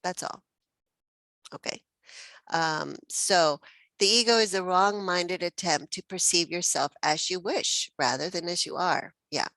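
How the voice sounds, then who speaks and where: Woman from mexico leading a study group, slow and thoughtful, lots of ums and little laughs, bright voice. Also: on the low side, no room sound. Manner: reflective, many fillers and restarts, laughs often. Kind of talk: spiritual text study discussion.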